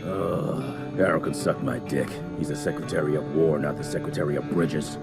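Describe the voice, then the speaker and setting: An actor playing a gruff, sardonic, retired older monster hunter in a video game cutscene, grumbling and swearing. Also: male voice, a bass voice, steady tone, normal speed, over loud music. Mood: grumpy banter. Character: gruff, foul-mouthed old retired male monster hunter.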